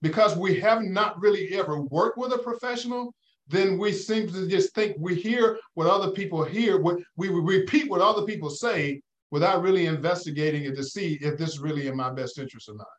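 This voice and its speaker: High-voiced man giving financial advice. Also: brisk, lo-fi sound. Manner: preacherly, asks rhetorical questions, checks in with "okay?" and "right?". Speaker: financial advisor guest. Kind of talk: call-in advice talk.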